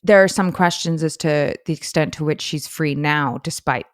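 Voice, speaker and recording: A woman with a low-pitched voice and a blended international accent, mostly British with American touches. She co-hosts a podcast and talks in a casual storytelling way, recorded close and dry. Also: moderate pace, dry recording. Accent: mixed international, British-leaning with American touches. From Dubai. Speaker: podcast co-host.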